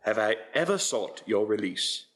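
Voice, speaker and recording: A man's voice: on the low side, lively intonation, unhurried, fairly bright tone. A man playing a young, pleading, defensive suitor in a radio play, with formal period phrasing.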